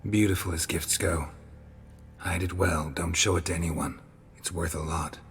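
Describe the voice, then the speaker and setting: An actor playing a gruff, stoic monster hunter in a fantasy game, terse and dryly deadpan. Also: male voice, deep voice, moderate pace, a little hiss or hum. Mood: calm. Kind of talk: video game voice acting. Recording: loud music under the voice.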